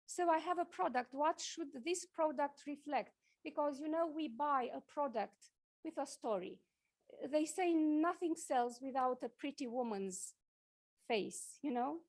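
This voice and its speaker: A woman from Romania, giving a conference talk with slides, halting often with fillers and restarts. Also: sits high, darkish tone. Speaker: conference speaker. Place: conference hall.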